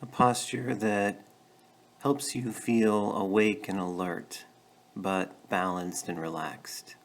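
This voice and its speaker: A man leading a guided meditation, speaking gently and in a measured way with pauses between short phrases. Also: fairly low pitch, steady tone. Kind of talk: guided meditation, calm instruction.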